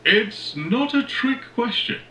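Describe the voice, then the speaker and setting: An actor playing a brisk, commanding aerospace tycoon joining an interview remotely in a cinematic audio drama. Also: male voice, very high-pitched, sing-song, bright, forceful tone, exaggerated voice. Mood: probing. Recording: roomy echo, faint noisy ambience.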